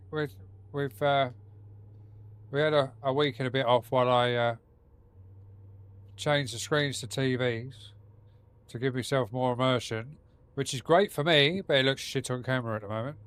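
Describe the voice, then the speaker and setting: A man's voice: mid-range voice, unhurried, long pauses, a bit bright, clear, resonant tone, dry recording. Chatty streamer man with a blocked nose. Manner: rambling, chatty, swears casually. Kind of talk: casual live-stream chat while gaming. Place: home gaming setup.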